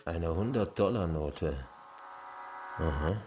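An actor playing a stern, bullying US marshal in a German radio crime drama, with a commanding manner. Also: male voice, sits low, expressive, slow, darkish tone, slight background noise. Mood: stern, superior. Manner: commanding, barking orders. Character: stern, bullying fake US marshal, grudgingly bribable.

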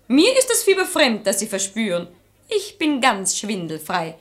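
Saucy servant girl for classic radio comedy in verse, high-pitched, sharp-witted, pert. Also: wildly swooping pitch, moderate pace. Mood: pert mockery. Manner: pert, mocking, theatrical. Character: saucy, outspoken, quick-witted maidservant. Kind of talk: German radio play acting in rhymed verse.

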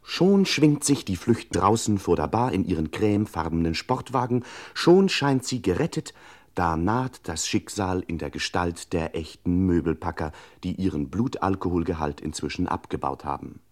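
A tongue-in-cheek radio serial narrator with a very deep voice. He speaks in measured, florid sentences, lending mock gravity to pulp crime storytelling. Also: expressive, darkish tone. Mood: ironic gravity.

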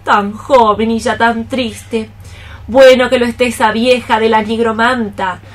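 Actress playing a theatrical old mother cockroach, high-voiced. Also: steady tone, lightly acted. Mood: scolding. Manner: exclamatory, theatrical, drawn-out phrases. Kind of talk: radio theatre acting in archaic poetic Spanish.

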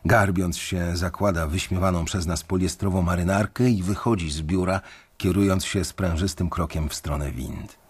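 A husky, low-voiced anxious bank clerk. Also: male voice, fairly even intonation. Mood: somber. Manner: measured, dry, wry storytelling. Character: anxious, sardonic male bank employee facing layoff. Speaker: narrator and bank analyst. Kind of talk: first-person radio drama narration.

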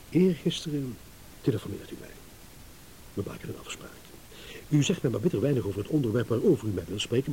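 A male actor plays a shrewd, self-satisfied husband and driver in a radio drama, building his accusation with theatrical pauses. His mellow voice swings widely in pitch, ironic and deliberate, over audible tape hiss. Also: natural voice, rough recording. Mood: pointed. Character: married motorist delivering a shrewd, self-satisfied accusing monologue. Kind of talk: Dutch radio play monologue. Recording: hissy old radio play recording.

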